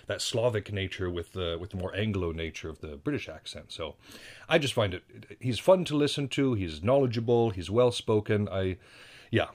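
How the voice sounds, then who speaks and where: An American man hosting a solo podcast, talking casually and rambling with self-deprecating asides and frequent restarts. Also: low-pitched. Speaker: podcast host. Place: indoors at home.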